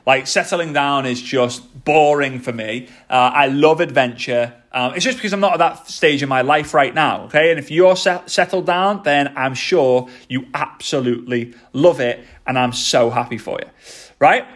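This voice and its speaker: A man's voice: medium pitch, moderate pace, a bit bright. A man in his thirties hosting a motivational podcast, speaking with energy and repeating key phrases for emphasis. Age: 30s. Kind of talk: motivational talk.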